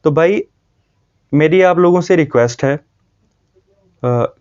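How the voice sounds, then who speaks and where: A North Indian man speaks to viewers in an earnest, informal explanatory style. His voice sits a little high and is on the mellow side, and he repeats points for emphasis. The recording is slightly dull. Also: takes it slowly, smooth. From Jammu, India. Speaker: video host.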